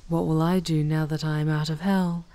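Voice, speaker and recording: A woman voicing an ancient female demon in an ASMR roleplay, soft and breathy, often near a whisper, speaking formally with tender, wistful slyness. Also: low-pitched, level tone, dry recording. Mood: sly, fond. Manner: slow, formal, tender farewell speech. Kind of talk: ASMR audio roleplay, intimate scripted monologue.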